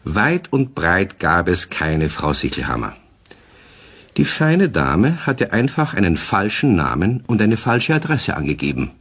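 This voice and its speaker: A man playing the narrator of a children's radio play, telling the story directly to young listeners in a husky voice. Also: sits low, huge pitch swings, measured pace, fairly bright tone, heavily acted. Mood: animated, wry. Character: male storyteller addressing children.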